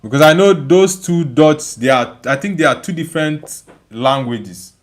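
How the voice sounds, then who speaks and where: A man's voice: fairly high pitch, animated, moderate pace. A man hosting a casual livestream, reading out viewer comments and replying in an emphatic way, often repeating phrases as he thinks aloud. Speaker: livestream host.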